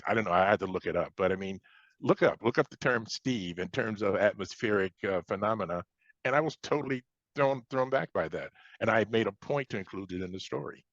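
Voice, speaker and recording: A man's voice: fairly low pitch, lively intonation, a little fast, fairly bright tone, no echo, lo-fi sound. An American man chatting casually as an interview guest, often repeating phrases as he goes. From Chicago, United States. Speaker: science fiction author, interview guest.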